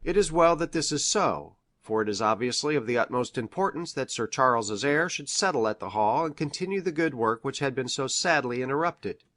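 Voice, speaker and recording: A man playing an earnest country doctor in an audiobook, reading aloud in a formal, deliberate way. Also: mid-range voice, animated, a bit bright, lightly acted. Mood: formal, measured. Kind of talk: measured dramatic audiobook reading of formal prose.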